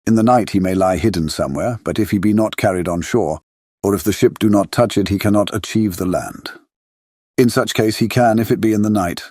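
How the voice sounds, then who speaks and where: A learned older professor with a fairly low voice sets out his reasoning gravely and formally played by a male actor. The delivery is deliberate gothic audiobook drama, captured close and dry. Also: a little mellow, dry recording, close to an everyday voice. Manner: deliberate, formal, oddly phrased explaining.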